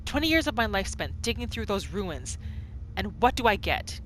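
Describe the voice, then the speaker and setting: A woman hosting a gaming video, giving casual, expressive commentary as she reacts to the game. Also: mid-range voice, moderate pace, slight background noise, poor audio. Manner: expressive, exclaiming.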